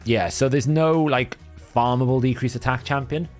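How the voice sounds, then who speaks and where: A man's voice: medium pitch, moderate pace, soft background music. A Welsh man whose first language is Welsh hosts a live game stream, thinking aloud in a chatty way and often correcting himself. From Wales. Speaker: livestream host. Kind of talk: casual gameplay commentary.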